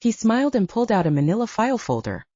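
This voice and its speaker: A woman's voice: a little high, big pitch swings, fast, mellow, no echo. A woman narrating a first-person audiobook in a hesitant, self-conscious voice. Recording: slightly dull lo-fi audio.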